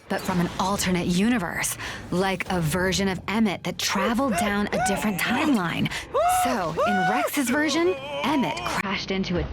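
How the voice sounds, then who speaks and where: A woman playing a sassy, confident hero who narrates an animated game in a wry, conversational way with playful asides. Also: mid-range voice. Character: sassy, confident female hero recapping the adventure. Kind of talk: conversational scripted cutscene narration.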